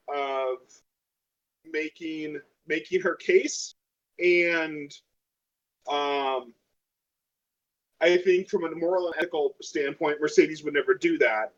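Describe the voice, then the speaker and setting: A man casually talking through a tabletop game out of character as a player, thinking aloud in a hesitant way. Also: a little high. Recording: dull lo-fi audio.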